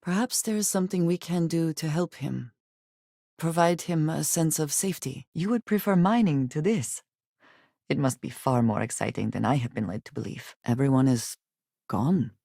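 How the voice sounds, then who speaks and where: A woman's voice: sits low, gravelly, dark tone, no room sound. Guarded, formal space adventurer woman, very dry sound. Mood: reserved, serious. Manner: formal, measured diction without contractions. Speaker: video game voice actress. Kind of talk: scripted video game character dialogue. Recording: very dry, close studio recording.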